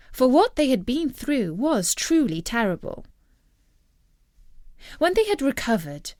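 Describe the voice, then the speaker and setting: A woman telling a story as scripted narration, in an expressive and deliberate manner. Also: a little high, dry recording, studio quality.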